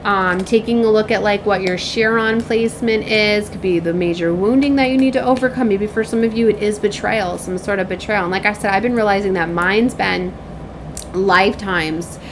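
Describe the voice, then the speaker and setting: A woman's voice: mid-range voice, normal speed, background noise. A woman giving a casual, effusive spiritual talk to viewers as a reader, rambling and often checking for agreement. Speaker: spiritual reader and self-described shaman.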